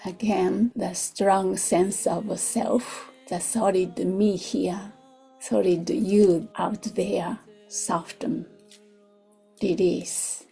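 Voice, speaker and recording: A woman who is not a native English speaker leads a guided meditation in a soft, gentle voice, in short unhurried phrases that often drop toward a whisper. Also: medium pitch, steady tone, creaky, breathy edge, soft background music. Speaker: meditation teacher. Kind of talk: guided meditation, slow and soothing.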